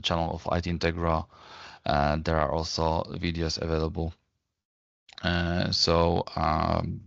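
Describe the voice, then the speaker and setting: Guy doing a company webinar, low even voice, stop-start phrasing, kinda muffled recording. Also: creaky, no room sound. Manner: halting, frequently repeating and rephrasing words. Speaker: software company presenter. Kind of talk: webinar presentation, explanatory.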